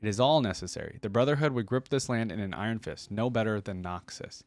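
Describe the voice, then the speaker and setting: A man's voice: fairly low pitch, quick pace, no room sound, lightly acted. A solemn young warrior woman read by a man, weighed down by doubt and leadership. She speaks hesitantly in a storybook reading with a very dry sound. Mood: somber resolve.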